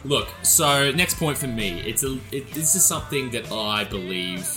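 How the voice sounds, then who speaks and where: A man's voice: mid-range voice, expressive, moderate pace, sharp tone, faint music behind. A young man in his twenties hosting a casual podcast chat, joking and swearing freely. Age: 20s. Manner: laughing, swearing banter. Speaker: podcast host.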